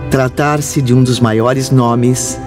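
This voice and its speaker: A performer playing an earnest, devout spiritist medium, narrating an audio drama in a measured, formal, solemn way. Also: mid-range voice, steady tone, music in the background, lightly acted. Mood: reflective, earnest. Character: young spiritist medium, earnest and devout, recalling past work.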